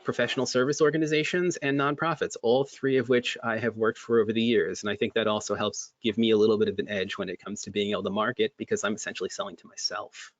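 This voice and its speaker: A man's voice: fairly low pitch, flat delivery, fast talker, hardly any pauses, no room sound, dull audio. A man speaking as a guest in a webinar, talking casually about his career, with many restarts and fillers. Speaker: guest, MSP creative director.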